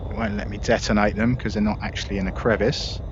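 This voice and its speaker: A man giving casual, unhurried gameplay commentary, explaining things in an easygoing way as the host of a gaming video. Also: fairly low pitch, steady tone, highs cut off. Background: space game sound effects and engine noise.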